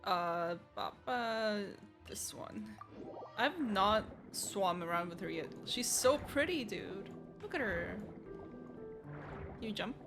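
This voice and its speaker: A woman's voice: medium pitch, lively intonation, takes it slowly, pauses often. Casual woman streamer over game music. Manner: offhand, reacting, slangy. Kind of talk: casual game playthrough commentary.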